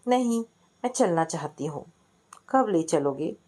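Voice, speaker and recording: A woman's voice: deep voice, animated, a little slow, warm tone. A woman narrator plays a young female student from a family storybook. She is curious and caring, questioning and teasing, with an insistent streak. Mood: concerned, insistent.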